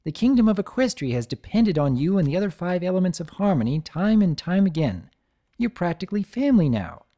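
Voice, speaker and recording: A man voicing a cheerful, bookish young pony mare with a bright, friendly and precise manner. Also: high-pitched, sing-song, fairly quick, voice pushed up.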